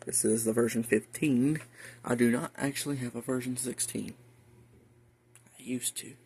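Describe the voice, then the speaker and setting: American male hobbyist vlogger, casual and rambling, creaky voice, slightly noisy home recording. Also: medium pitch, fairly even intonation, moderate pace, a little mellow. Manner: folksy, rambling, muttering asides to himself. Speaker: hobbyist video host. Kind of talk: casual show-and-tell vlog. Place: indoors in a room at home.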